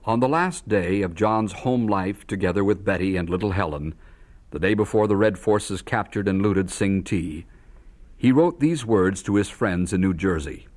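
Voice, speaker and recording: A man's voice: deep voice, normal speed, a little mellow. A man delivering scripted, dramatic narration for an audio drama.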